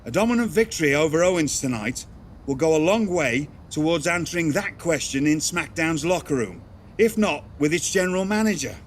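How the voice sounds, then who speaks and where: An actor playing an encouraging mentor in a wrestling video game, speaking formally and in measured phrases. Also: male voice, fairly high pitch, lively intonation, slight background noise, lightly acted. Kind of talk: video game cutscene acting.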